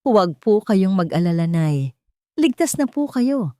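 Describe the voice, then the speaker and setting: A woman's voice: medium pitch, big pitch swings, measured pace, mellow, no echo, clean studio sound. A woman voicing a poor, kind-hearted scavenger in an audio drama, speaking earnestly and protectively. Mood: reassuring.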